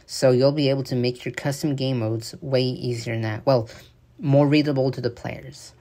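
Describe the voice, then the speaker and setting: Man, gravelly voice, mid pitch, casual voice-over, rambling, halting, filler-heavy. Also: steady tone, warm tone. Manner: rambling, halting delivery with frequent fillers and restarts. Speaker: game news commentator. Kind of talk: casual voice-over commentary on gameplay footage.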